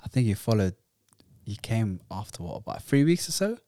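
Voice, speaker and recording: A man's voice: on the low side, big pitch swings, a little fast, dark tone, no echo. A man who grew up partly in the Netherlands, chatting casually and rambling with fillers and restarts. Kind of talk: casual chat.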